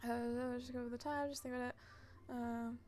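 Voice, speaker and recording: A woman's voice: on the high side, level tone, moderate pace, a little mellow, soft background music. A woman game streamer chatting and commenting casually live, in a giggly, rambling way.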